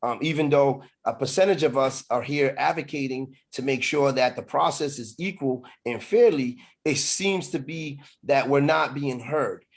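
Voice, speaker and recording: A middle-aged man speaking as a political candidate in an online meeting, halting, with frequent pauses and repeated phrases. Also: medium pitch. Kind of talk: campaign speech to an online meeting.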